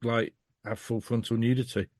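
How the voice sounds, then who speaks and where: A man's voice: medium pitch, flat delivery, normal speed, darkish tone, no echo, studio quality. A British man as a podcast guest, chatting casually in a blunt, emphatic, sweary way. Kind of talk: casual chat.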